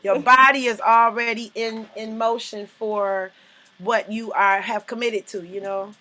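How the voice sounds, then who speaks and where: A woman co-host in casual morning chat, talking in a lively, emphatic, playful way. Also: on the high side, measured pace, poor audio.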